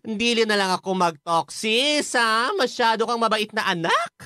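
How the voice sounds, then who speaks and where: An actress playing a chatty, affectionate young best friend in a Filipino radio drama, playful and bubbly. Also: female voice, on the high side, big pitch swings, normal speed, bright, forceful tone, no echo, clean studio sound.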